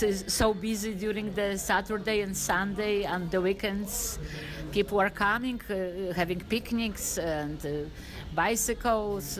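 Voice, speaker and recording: Woman, Polish accent, middle-aged, hesitant with fillers, slow, busy crowd noise behind. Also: mid-range voice. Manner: hesitant, many fillers, halting non-native phrasing. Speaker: interviewee, former business owner. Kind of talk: casual interview answers.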